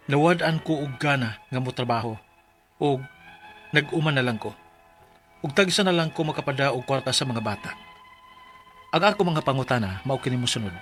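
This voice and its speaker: Man acting a distraught cebuano filipino dad in a radio drama, highish voice, pleading, music under it. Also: fairly bright tone, lightly acted. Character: distraught rural Filipino father pleading for his children. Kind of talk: Bisaya radio drama acting and letter narration.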